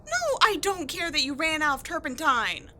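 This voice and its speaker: A brash tomboy adventurer acted by a woman speaks in a high, bright voice. She is sarcastic, cocky and quick to get defensive, with slangy, exasperated delivery in the manner of an audio roleplay. Clear ambient noise sits behind her. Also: very animated, full character voice. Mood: outraged. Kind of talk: scripted ASMR-style audio roleplay, one-sided dialogue.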